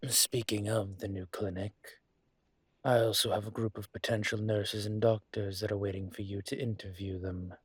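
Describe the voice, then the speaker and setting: A man voicing a calm, courteous dragon mafia boss in a roleplay audio, speaking in a husky, measured, polite way. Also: fairly low pitch, no room sound. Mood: courteous, businesslike. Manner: measured, polite, deliberate with pauses. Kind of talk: scripted roleplay audio monologue to listener.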